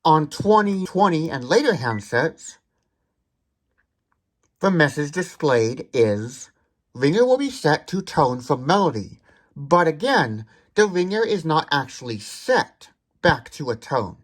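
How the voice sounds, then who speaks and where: A man's voice: fairly high pitch, sing-song, slow pace. A man hosting a tech demonstration, explaining details methodically. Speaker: tech demonstrator, video host.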